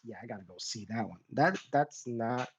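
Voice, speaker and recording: A man's voice: medium pitch, normal speed, crowd noise. A man chatting casually as a podcast panelist, giving opinions in a rambling way and qualifying his points as he goes. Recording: dull lo-fi mic.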